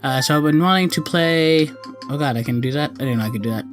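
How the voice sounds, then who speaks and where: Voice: mid-range voice, quick pace. Rambling gamer with game music behind. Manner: rambling, self-correcting. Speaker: gaming video host. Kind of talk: casual let's play commentary. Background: video game cutscene music.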